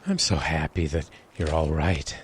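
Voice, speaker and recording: A man's voice: rumbling low, measured pace, slight background noise. An actor playing a desperate, freezing man stranded in a blizzard, strained and pleading, in a horror audio drama. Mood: drained, relieved.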